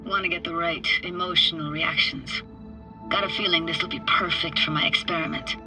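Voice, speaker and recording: A woman's voice: on the low side, steady tone, fairly quick, close to an everyday voice, rough recording. A woman playing a wistful, streetwise young tech-savvy diver in a video game, talking in clipped, intimate, slangy sentences. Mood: guarded, earnest. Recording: loud game music behind.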